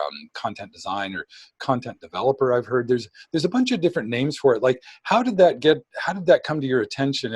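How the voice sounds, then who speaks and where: A man's voice: mid-range voice, breathy edge, no room sound. A man hosting a podcast, reading a scripted introduction and then interviewing his guest eagerly, often restarting his sentences. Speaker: podcast host.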